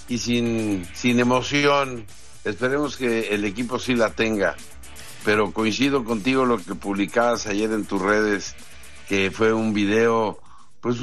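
A man with a Mexican accent giving conversational sports news commentary in a measured way, pausing between points. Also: mid-range voice, fairly bright tone. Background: music.

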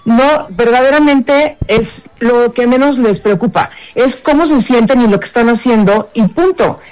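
A woman speaks as a guest author on a radio talk show. Her voice sits somewhat high, and she explains her views warmly and with assurance. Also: a little slow, low-quality recording.

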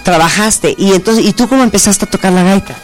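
A woman's voice: medium pitch, fast talker, soft background music. A middle-aged woman with a Mexican accent hosting an interview in a playful, flirtatious, exclamatory way. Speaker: show host.

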